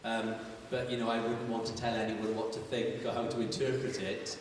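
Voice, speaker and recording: A man with a somewhat low voice talks through audience questions, pausing to find his words. He is heard from a distance in a large, echoing hall. Room noise sits clearly underneath. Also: level tone. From Wales. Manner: thoughtful, searching for words. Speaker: filmmaker answering audience questions. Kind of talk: live Q&A answers. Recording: echoey hall, voice from far off.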